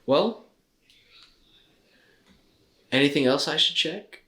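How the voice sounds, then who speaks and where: A man's voice: a little high, lively intonation, takes it slowly, fairly bright tone. A young man co-hosting a podcast in casual chat, with dry, self-mocking humor. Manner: dry, joking self-mockery. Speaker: podcast co-host. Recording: roomy, echoey room.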